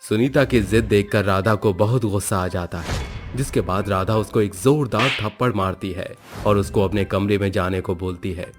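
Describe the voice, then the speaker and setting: A man's voice: on the low side, steady tone, normal speed. A man reading a scripted moral story as its narrator. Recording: background music under the voice. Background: music.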